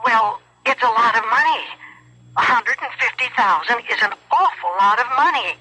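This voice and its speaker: A nervous, evasive woman in a 1970s radio play, speaking hesitantly down a telephone line. Her voice is very sharp and tense, with a rough husky grain. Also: on the high side, animated, moderate pace, full character voice. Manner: hesitant, faltering. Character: nervous, evasive wife in an insurance swindle. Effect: telephone filter. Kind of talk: 1970s radio drama acting.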